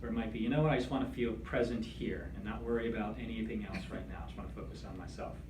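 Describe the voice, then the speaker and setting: A man leading a meditation class, speaking in a gentle, soothing, conversational way. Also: on the low side, fast talker. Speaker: meditation instructor. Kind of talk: guided meditation instruction. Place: room with attendees seated in chairs. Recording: echoey room, distant mic, background noise.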